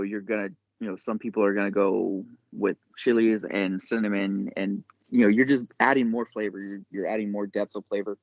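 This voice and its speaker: A man, probably middle-aged, talking casually as a podcast guest, explaining things in a halting, reflective way. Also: fairly low pitch, no room sound. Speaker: podcast guest, chef and caterer. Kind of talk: casual interview chat.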